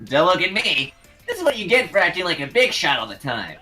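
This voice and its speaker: A man's voice: sits high, very animated, fairly quick, bright, forceful tone, soft background music, heavily acted. A man voicing a bossy, panicky bear-cub mascot with brash delivery in an amateur anime game dub. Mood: scolding.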